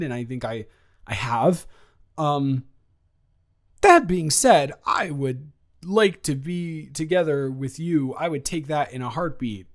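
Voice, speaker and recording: A young man playing an awkward, eager, rambling ex-boyfriend in an improvised romantic roleplay audio, stammering and halting as he talks. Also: a little high, fairly bright tone. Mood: flustered, earnest.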